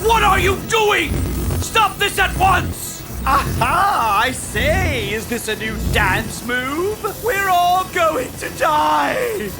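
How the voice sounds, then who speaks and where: An actor playing a pompous, frantic millionaire villain in an audio drama, theatrical and ranting. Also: male voice, very high voice, slow, raised voice, music in the background, low-quality recording. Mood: panicked.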